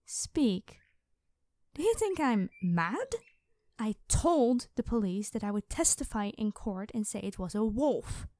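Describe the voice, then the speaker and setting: A woman playing a distressed but stubborn young woman in an audio roleplay, speaking with a pleading, halting manner. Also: fairly high pitch, sing-song, mellow, dry recording. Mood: upset, incredulous. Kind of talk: cinematic audio roleplay acting.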